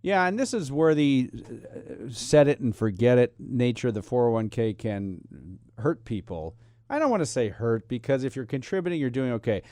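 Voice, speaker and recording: A man's voice: mid-range voice, animated, moderate pace, warm tone, dry recording, studio quality. A man co-hosting a casual finance chat, restating points and asking clarifying questions.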